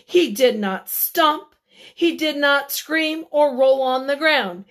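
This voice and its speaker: A woman reading a picture book aloud to children, expressively and deliberately, with dramatic emphasis. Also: high-pitched, lively intonation, a bit bright. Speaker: children's read-aloud storyteller.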